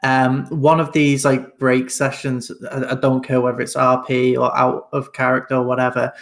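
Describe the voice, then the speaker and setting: A tabletop player with a gravelly, creaky voice puts forward an idea to his group. He speaks in a flat, level tone with little rise and fall, at a middle pitch. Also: moderate pace. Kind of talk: casual table talk.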